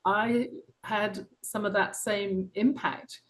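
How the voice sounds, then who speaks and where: A woman's voice: mid-range voice, fairly even intonation, slow, poor audio. Earnest woman with a London accent. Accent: London English. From England. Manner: earnest, passionate, with soft laughs and frequent ums. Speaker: author and guest. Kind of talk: reflective personal interview.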